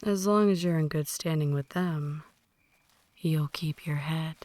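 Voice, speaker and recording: A woman playing a possessive, ancient vampire in an ASMR roleplay, speaking softly and breathily, often in whispers, with a deliberate, condescending menace. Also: low voice, no room sound. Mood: calm, lecturing. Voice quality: soft, breathy, largely whispered. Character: possessive, ancient female vampire mentoring a newborn, cold and menacing. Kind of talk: ASMR roleplay, slow intimate dramatic monologue.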